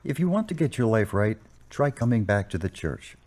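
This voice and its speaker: A man as a deep-voiced, patient parish priest. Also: sing-song, darkish tone. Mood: earnest, encouraging. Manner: measured, counselling, formally worded. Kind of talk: amateur audio drama acting.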